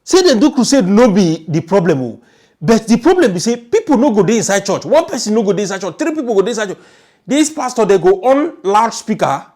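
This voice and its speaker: A man's voice: sits high, very animated, fairly quick, darkish tone. A man with a Nigerian accent giving informal, emphatic storytelling commentary, often repeating his phrases. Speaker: online news commentator.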